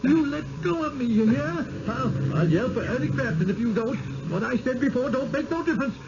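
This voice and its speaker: An actor playing a frightened, stubborn old village man with a rural American accent and a folksy, halting manner in a radio drama. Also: extremely high pitch, expressive. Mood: panicked. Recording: muffled, slightly noisy vintage radio recording.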